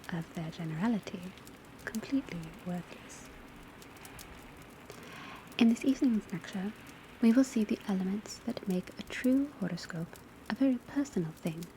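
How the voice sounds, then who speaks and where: A woman playing a composed, formal witch school teacher, lecturing in a soft, breathy, often whispery ASMR style with measured, precise delivery. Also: mid-range voice, lightly acted. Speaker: ASMR roleplay performer. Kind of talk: ASMR classroom roleplay lecture. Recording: steady rain and crackling fire noise. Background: rain on roof, crackling fireplace, pencil writing.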